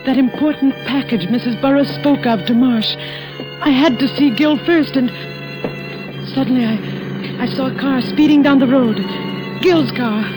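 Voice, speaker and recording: An American actress playing an anxious wife who narrates a 1940s radio thriller in a hesitant, guarded, dramatic way. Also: female voice, high voice, lightly acted, low-quality recording. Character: anxious boarding-house wife hiding her fears, thriller narrator. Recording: vintage radio recording with music.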